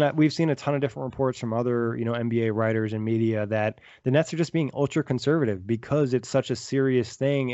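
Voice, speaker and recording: Sports podcast co-host, man, rapid and easygoing with lots of fillers, dry dull remote audio. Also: mid-range voice, darkish tone, no echo. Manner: quick, agreeable, filler-heavy. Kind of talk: casual sports podcast chat.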